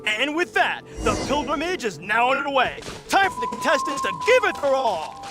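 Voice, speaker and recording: An actor playing an excited, dramatic male tournament commentator in a video game, calling it like sports. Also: extremely high pitch, normal speed, over loud music. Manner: dramatic, hyped.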